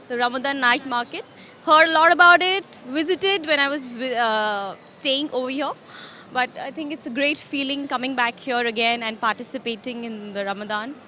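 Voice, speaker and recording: A woman's voice: high-pitched, pushed and bright, a little hiss or hum. A woman from India speaking unscripted in an interview as a stallholder.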